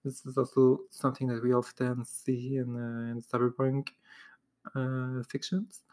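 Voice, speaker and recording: Solo podcast host, woman, native French speaker with a Québécois accent; low, unhurried delivery, lots of "uh" and restarts. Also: level tone. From Quebec, Canada. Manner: hesitant, many "uh" fillers and restarts, occasional soft laughs.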